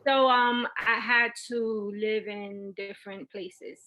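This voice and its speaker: A woman's voice: mid-range voice, steady tone, takes it slowly, sharp tone, highs cut off. A middle-aged woman, telling her personal story as an interview guest in a candid, informal way. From Puerto Rico and Brooklyn, New York.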